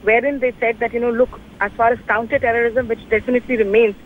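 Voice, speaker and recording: A woman's voice: on the high side, steady tone, quick pace. A woman with an Indian accent giving a live, wordy and explanatory news analysis as a correspondent. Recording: tinny phone line with faint noise.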